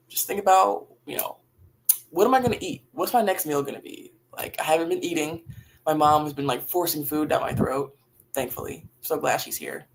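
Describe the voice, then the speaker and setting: A woman with a raspy voice talking casually and reflectively on a livestream while grieving, in halting phrases that sometimes trail off. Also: sits low, slight background noise, rough recording. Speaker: livestream host. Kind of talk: casual, reflective livestream talk about faith and grief.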